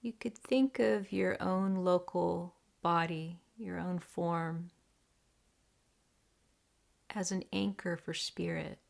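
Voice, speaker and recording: Very slow, creaky-voiced woman guiding meditation. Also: lowish voice, steady tone. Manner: deliberate, measured, with long pauses. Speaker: meditation teacher. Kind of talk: guided meditation.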